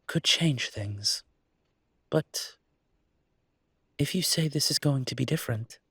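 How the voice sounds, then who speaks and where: A man acts out a polite, eager coffee shop owner in an audio roleplay. He speaks in a formal, effusive way, unhurried and a little breathy. The sound is close and dry. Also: medium pitch, dry recording. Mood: tactful. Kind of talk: roleplay audio drama acting.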